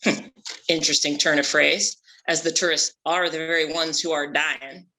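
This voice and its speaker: A man's voice: on the high side, flat delivery, normal speed, bright, forceful tone, rough recording. A man playing a vain, boastful ex-pirate shark hunter, grand and pompous, in a comedy table read. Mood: haughty.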